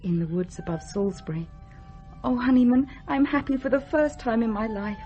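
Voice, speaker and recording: A woman's voice: fairly high pitch, a little fast, warm tone, highs cut off, soft background music, lightly acted. An actress playing a restless, candid young upper-class Englishwoman in a radio drama, breezy and impatient. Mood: wistful.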